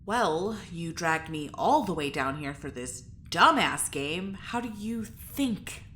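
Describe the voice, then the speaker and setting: A woman's voice: fairly low pitch, lively intonation, slow, a bit bright, slight background noise. A woman playing a surly, unwilling test subject in an audio drama, drawling with irritated sarcasm.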